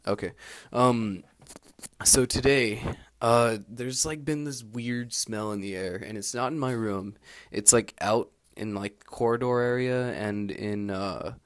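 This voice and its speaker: A man's voice: medium pitch, takes it slowly. A young man recording a casual audio diary while studying abroad, meandering and candid, with lots of fillers and restarts. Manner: laid-back and candid. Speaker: exchange student keeping an audio diary. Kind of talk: rambling personal audio log. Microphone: handheld recorder held close.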